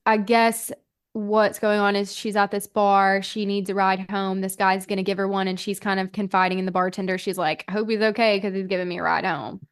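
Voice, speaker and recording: A woman's voice: medium pitch, little pitch movement, a little fast, clear, resonant tone. A woman hosting a true-crime podcast, telling the story in a casual, conversational way peppered with "like". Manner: informal, hedging, filler-heavy with "like".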